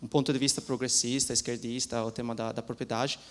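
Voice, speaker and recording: Halting male lecturer in a reverberant hall. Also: medium pitch, level tone. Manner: halting, many restarts, mixing languages. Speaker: law professor. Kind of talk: seminar talk.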